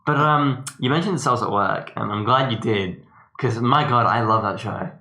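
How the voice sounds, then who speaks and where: A man's voice: mid-range voice, moderate pace, bright, forceful tone, roomy sound. A man co-hosting a podcast, rambling in casual chat with plenty of fillers. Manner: rambling, hesitant, lots of fillers and restarts. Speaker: podcast co-host.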